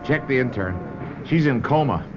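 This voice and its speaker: An actor playing a cynical, weary police sergeant in a radio drama. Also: male voice, mid-range voice, lively intonation, normal speed, lightly acted. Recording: lo-fi radio, loud street and vehicle noise.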